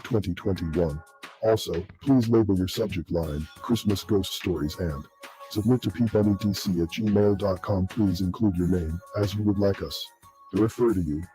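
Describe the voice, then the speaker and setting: A man's voice: deep voice, dark tone, rough recording. A man announcer reading a scripted promotional announcement.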